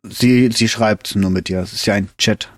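A man co-hosting a podcast in relaxed, casual chat, explaining things plainly and laughing easily. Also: fairly low pitch, moderate pace, dry recording, clean studio sound. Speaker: podcast co-host.

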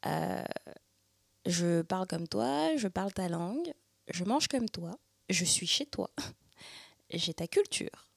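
Slow, gravelly-voiced woman musing. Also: lowish voice, big pitch swings, no echo, clean studio sound. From Paris region, France. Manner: thoughtful, searching, many fillers. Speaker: speaker sharing personal testimony. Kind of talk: reflective personal monologue.

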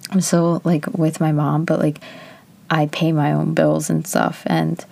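Low-voiced chatty woman in her twenties. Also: little pitch movement, unhurried, vocal fry, warm tone. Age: 20s. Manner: chatty, candid, frequent "like" fillers. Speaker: vlogger. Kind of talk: casual personal reflection.

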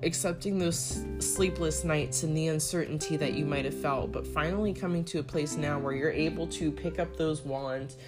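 A woman with a low, raspy morning voice talks her way through a tarot reading. She rambles, hunts for words and leans on fillers as she goes. Guitar music plays clearly underneath. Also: steady tone, normal speed, hardly any pauses. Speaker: spiritual tarot reader. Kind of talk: casual spiritual monologue.

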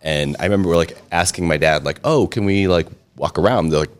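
A middle-aged man with a remarkably deep, low voice. He tells long stories in a relaxed, chatty way, often joking at his own expense. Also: a little fast. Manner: chatty, self-deprecating humor, frequent restarts. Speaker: interview guest, restaurant and brewery owner. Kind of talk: casual storytelling interview.

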